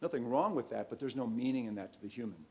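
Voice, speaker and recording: A man with a mid-pitched voice presents as a startup founder. His delivery is conversational and emphatic, with direct questions to the listeners. He speaks into a microphone on an auditorium stage. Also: animated, fast talker, slightly rough. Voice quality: loud voice. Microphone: microphone on a lectern stand.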